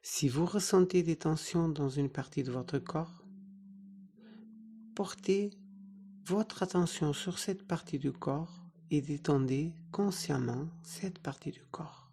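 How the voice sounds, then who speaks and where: Meditation teacher for guided relaxation: mid-pitched, relaxed timbre, very unhurried, soothing phrasing. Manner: soothing, instructing in short phrases with pauses. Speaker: yoga nidra meditation teacher.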